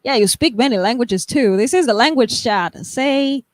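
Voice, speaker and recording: A woman's voice: fairly high pitch, animated, normal speed, no room sound, studio quality. A young woman from the United States hosting a live stream, chatting casually and reading viewer comments aloud, often laughing and restarting her sentences.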